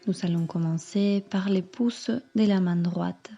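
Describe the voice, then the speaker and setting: A woman yoga teacher calmly leading a guided meditation in measured, instructing phrases. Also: lowish voice, soft background music. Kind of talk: slow guided meditation narration.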